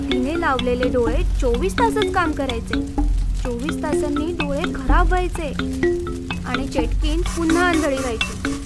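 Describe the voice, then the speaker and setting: A woman reading scripted horror story narration as the narrator. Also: sits high. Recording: loud music under the voice.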